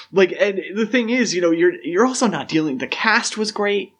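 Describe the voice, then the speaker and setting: A man's voice: high-pitched, animated, a little slow, fairly bright tone. A man co-hosting a podcast, discussing film casually in an analytical, explanatory way. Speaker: podcast co-host.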